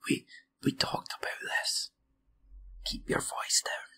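A person in a comedy sketch who speaks only in a hushed whisper and keeps scolding a loud partner to keep quiet. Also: slow pace.

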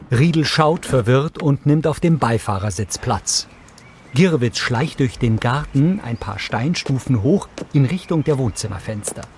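A man's voice: mid-range voice, noisy background. A man reading scripted audio description narration for a film.

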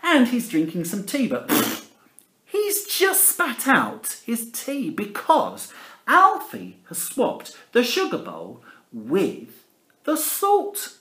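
Very animated Englishman reading a storybook. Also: very high-pitched, slow pace. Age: middle-aged. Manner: theatrical, exaggerated emphasis, conversational asides. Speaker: primary school teacher reading a storybook. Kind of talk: animated picture-book reading with asides to children. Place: living room at home, indoors. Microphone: camera at about arm's length. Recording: echoey room.

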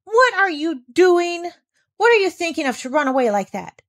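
Woman putting on a scolding, high-pitched stern housekeeper. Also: big pitch swings, moderate pace, a bit bright, heavily acted. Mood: indignant scolding. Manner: formal, severe, exclamatory.

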